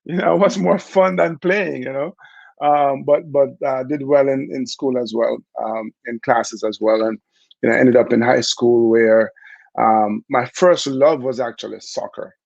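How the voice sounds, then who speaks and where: A middle-aged Jamaican man telling his story in a relaxed, chatty interview, with many fillers along the way. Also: medium pitch, measured pace, creaky, no echo, dull audio. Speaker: interview guest, former Olympic bobsledder.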